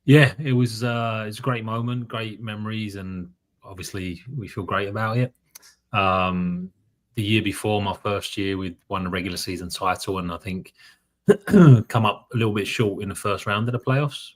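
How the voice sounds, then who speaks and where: A man, a head coach, answering interview questions in a measured, steady way. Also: on the low side. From from abroad, later lived in New York. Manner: measured, steady, with frequent "um" and "I think".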